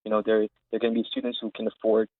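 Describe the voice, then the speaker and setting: Quick young man on a phone-like line. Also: fairly low pitch, completely flat delivery, no room sound. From Saudi Arabia. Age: 20s. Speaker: interview guest, founder of an education platform. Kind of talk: conversational interview answers. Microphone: remote call line. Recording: narrow phone-like live line.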